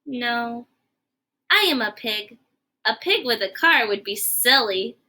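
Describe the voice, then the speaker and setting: A woman's voice: on the high side, expressive, slow pace, bright, forceful tone, heavily acted. A woman voicing a cheerful, helpful little pig pal in a playful manner for a children's storybook. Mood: matter-of-fact. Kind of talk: children's storybook read-aloud.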